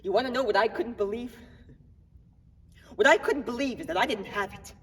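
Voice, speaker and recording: A man's voice: high voice, sing-song, husky, full character voice. Young man acting a grieving, defiant man, echoey hall. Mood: agitated, anguished. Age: young adult. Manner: agitated, wry, halting, streetwise. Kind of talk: dramatic monologue at a speech contest. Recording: echoey hall, recorded from far off.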